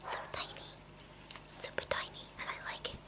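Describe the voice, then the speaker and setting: A woman speaking in a breathy whisper in an ASMR style, softly describing things and repeating gentle words. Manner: slow, repeats soft words as trigger sounds. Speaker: ASMR video creator. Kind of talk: ASMR, softly narrating personal makeup items.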